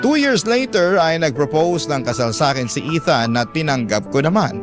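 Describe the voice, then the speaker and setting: A man's voice: fairly high pitch, animated, normal speed. A man with a Filipino accent reading a personal story aloud as a radio storyteller. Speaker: radio show host reading a listener's letter. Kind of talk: storytelling narration. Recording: music under the voice. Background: background music.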